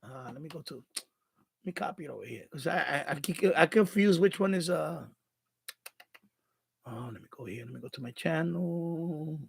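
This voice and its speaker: Bronx-accented man, high gravelly voice. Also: moderate pace, long pauses. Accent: Bronx New York. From born in Puerto Rico, raised in the Bronx. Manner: rambling, repeats and restarts words, reads chat aloud. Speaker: live stream host. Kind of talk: casual live-stream chat reading viewer comments.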